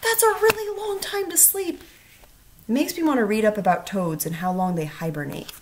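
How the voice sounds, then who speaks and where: A woman teacher reading a storybook aloud to children, expressive and instructional, asking questions as she goes. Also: fairly high pitch, moderate pace, slight background noise. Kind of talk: storybook read-aloud with questions to young listeners.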